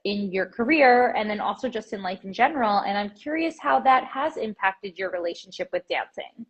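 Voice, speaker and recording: A woman with a slightly husky, breathy voice hosts a relaxed interview, full of encouraging remarks and little restarts. Her pitch sits in a middle range for a woman. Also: moderate pace. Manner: warm, affirming, many fillers and restarts. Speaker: podcast host, dietitian. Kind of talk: casual interview chat. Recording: intro over music.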